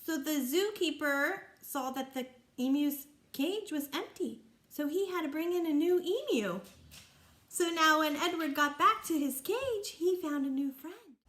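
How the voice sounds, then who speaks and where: A woman with a high-pitched voice talks to young children as their teacher. She explains simply and keeps asking them questions about the story. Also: animated, a little slow, a bit bright.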